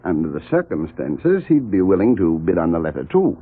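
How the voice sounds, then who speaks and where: Casting: slippery, greedy coward of vintage radio mystery, mock-courteous, animated delivery, tinny old broadcast sound. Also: male voice, low-pitched, brisk, breathy edge, heavily acted. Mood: smug, wheedling. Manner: unctuous, theatrical, falsely polite. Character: oily, cowardly, greedy male blackmailer with mock-polite manner. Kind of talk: 1940s radio mystery acting. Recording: muffled, tinny 1940s radio recording.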